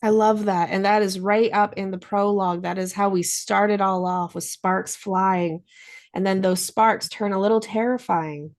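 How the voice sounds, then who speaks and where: A woman's voice: medium pitch, fairly even intonation, normal speed. A woman hosting an interview, speaking in an articulate, encouraging way as she asks questions and comments. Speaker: interviewer, host of a book show.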